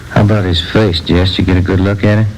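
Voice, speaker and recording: An American actor playing an easygoing police detective partner in a radio drama, plain-spoken and understated. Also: male voice, sits low, fairly even intonation, quick pace, slight background noise, rough recording. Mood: subdued, professional. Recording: old radio recording, loud music behind.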